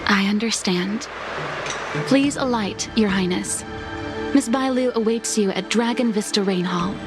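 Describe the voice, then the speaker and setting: A woman's voice: mid-range voice, a little slow, music in the background, natural voice. A woman playing a dutiful, deferential attendant to nobles in a video game, formal and courtly.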